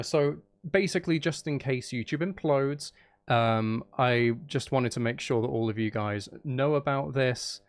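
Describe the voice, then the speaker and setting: A man hosting a live game stream, chatting casually and playfully to his viewers and to himself. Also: medium pitch. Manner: playful, talks to chat and to himself. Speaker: streamer and channel host.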